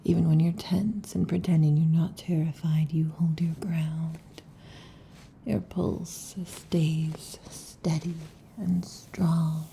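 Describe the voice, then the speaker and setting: A possessive snake-woman lamia, played by a woman whispers intimately into a close mic with a gravelly, low, mellow tone. She teases slowly, coiling around the listener in an ASMR roleplay. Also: flat delivery, slight background noise, exaggerated voice. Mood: teasing whisper. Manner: soft intimate whispering, teasing. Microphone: close sensitive mic.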